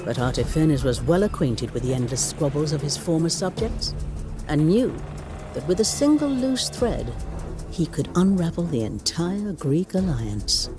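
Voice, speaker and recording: A man playing a solemn ancient chronicler, narrating history for a video game in a grave, theatrical storytelling manner. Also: a little high. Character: male ancient chronicler recounting war with solemn relish. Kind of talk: dramatic scripted historical narration. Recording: studio voice-over under prominent music.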